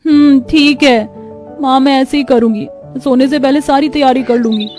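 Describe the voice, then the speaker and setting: A woman's voice: high-pitched, steady tone, moderate pace, darkish tone, faint music behind, pitched above the performer's own voice, lightly acted. A woman voicing an earnest, put-upon young daughter and new bride in a cartoon story. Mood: eager, obliging. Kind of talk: Hindi cartoon story dubbing.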